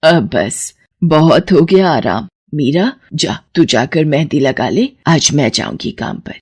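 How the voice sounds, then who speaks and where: Casting: woman to play an ailing, anxious mum for a kids' animated story, lowish, tender, tired. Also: a little slow, husky, full character voice. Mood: weary, resigned. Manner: tender, worried. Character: weary, ailing, anxious mother of a teenage girl. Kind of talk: animated Hindi children's story acting.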